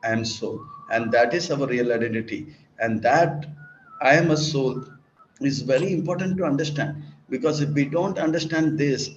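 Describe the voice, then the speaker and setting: A man's voice: medium pitch, normal speed, a little hiss or hum. A man giving a sermon, preaching in repetitive, list-like emphatic phrases and sometimes acting out dialogue. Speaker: monk and preacher. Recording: roomy, dull lecture recording.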